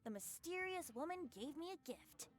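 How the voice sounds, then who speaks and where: Cheeky boyish game bard, super lively high voice, bit of music behind. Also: female voice, moderate pace. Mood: playful. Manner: lilting, theatrical, cheery. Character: playful young male wind bard, breezy and teasing. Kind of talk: animated game dialogue.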